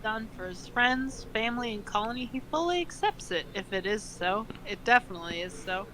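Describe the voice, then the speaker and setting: A woman with a slightly high voice reads aloud in a comic, mock-earnest way. She hesitates and breaks off into slangy commentary, and the volume of the recording is uneven. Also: lively intonation, fairly quick, a bit bright, low-quality recording. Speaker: co-host reading fan fiction aloud. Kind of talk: comedic dramatic reading with joking commentary.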